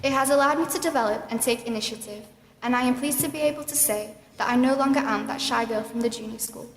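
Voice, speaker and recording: A woman's voice: a little high, a little hiss or hum. Young woman giving a speech in an echoey hall. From South Africa. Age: late teens. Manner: formal, read from a script, measured. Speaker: deputy school captain. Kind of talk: prepared prize-day speech. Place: large school hall at a ceremony. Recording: echoey hall with music behind.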